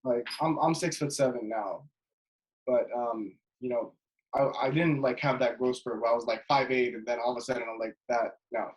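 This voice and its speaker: A man's voice: mid-range voice, muffled recording. Guest, man in his twenties, easygoing and rambling, recorded on a webcam at home. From Jordan. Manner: relaxed, rambling with many fillers and restarts. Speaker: guest, basketball player. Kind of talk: casual interview chat. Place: bedroom at home, indoors. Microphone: webcam video call.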